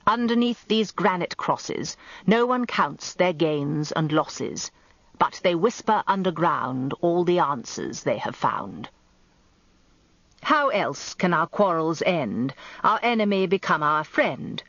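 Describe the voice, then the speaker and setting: A woman's voice: fairly low pitch, expressive, slow pace, fairly bright tone, no echo, full character voice. A woman voicing a wry, grumbling old male barrister with a droll, ponderous manner in a radio story. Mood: sombre reciting. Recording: slightly dull, lo-fi radio recording, dry.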